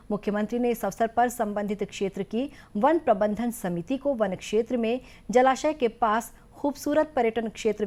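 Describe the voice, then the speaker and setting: A woman reading a scripted news bulletin in a formal, measured manner. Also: mid-range voice, a little mellow. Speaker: news reader.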